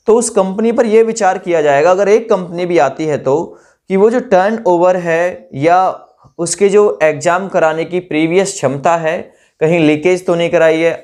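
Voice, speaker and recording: A man's voice: on the high side, darkish tone. A man giving an informal explainer to exam candidates as a coach, posing questions, answering them himself and repeating phrases for emphasis. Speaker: exam-news presenter and coach.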